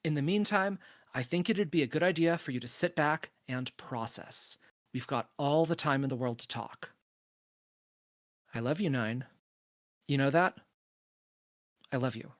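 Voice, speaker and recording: A woman playing a wise, protective space probe in a podcast table read, speaking in a measured, firm, reassuring manner. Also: rumbling low, dry recording, clean studio sound. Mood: tender, reassuring.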